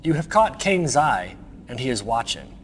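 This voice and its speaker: An actor playing a sly, ambitious military commander with a smug, sarcastic manner in a video game briefing. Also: male voice, mid-range voice, sing-song, moderate pace, lightly acted. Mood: cool, menacing. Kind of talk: 1990s video game cutscene acting.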